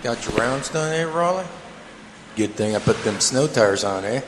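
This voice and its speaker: A man's voice: mid-range voice, lively intonation, normal speed, big-room sound, heavy background noise, close to an everyday voice. A man playing a blunt, folksy middle-aged garage owner in an amateur audio drama. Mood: gruff.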